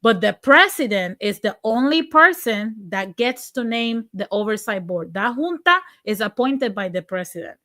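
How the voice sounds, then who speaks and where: Puerto Rican woman hosting a live political show, slightly high voice, blunt and sarcastic, reads chat aloud. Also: lively intonation, moderate pace, few pauses, bright, forceful tone. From Puerto Rico. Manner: impassioned and blunt, with sarcasm and occasional profanity. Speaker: livestream host. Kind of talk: political commentary, reading viewer comments.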